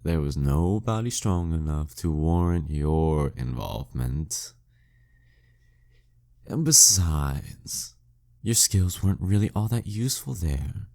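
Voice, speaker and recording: A man's voice: very deep voice, expressive, warm tone, full character voice. A man playing a proud, dominant demon king in an audio roleplay, teasing and condescending in an intimate scripted monologue. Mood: mocking, teasing. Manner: slow, teasing, condescending, smugly theatrical. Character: dead male demon king, taunting, dominant, proud former nemesis.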